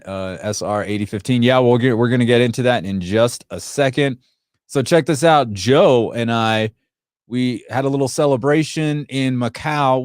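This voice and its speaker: A man's voice: medium pitch, clear, resonant tone, no room sound, clean studio sound. Chatty man hosting a tech livestream. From Sri Lanka. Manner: chatty, rambling, many fillers and restarts. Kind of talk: casual livestream chat reading comments.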